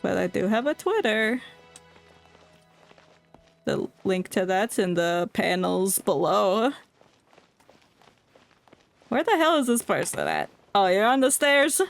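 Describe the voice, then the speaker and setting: A woman's voice: mid-range voice, animated, takes it slowly, gravelly, pauses often, faint music behind. A woman game streamer giving casual, jokey commentary, playful and rambling as she heckles the game.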